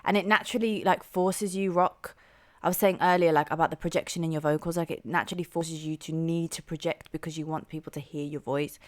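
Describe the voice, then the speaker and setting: A young woman hosting a music reaction channel, chatting casually and enthusiastically with a soft voice and lots of "like" and "um". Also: low-pitched, steady tone, a little fast. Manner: chatty and enthusiastic, heavy use of "like" and "um".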